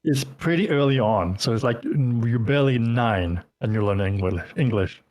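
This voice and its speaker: A man with a Danish accent chats as a guest in a casual interview. His talk wanders and is full of filler words, with a voice at an ordinary middle pitch. The sound is dry, with no room echo. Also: moderate pace, darkish tone. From Denmark. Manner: rambling, heavy with fillers. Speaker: guest streamer.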